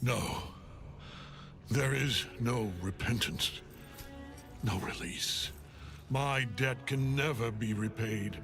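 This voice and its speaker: A man playing a grim older male general who serves a death god, speaking in a measured, cold, brooding way in game cutscene dialogue. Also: medium pitch. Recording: game audio with loud music.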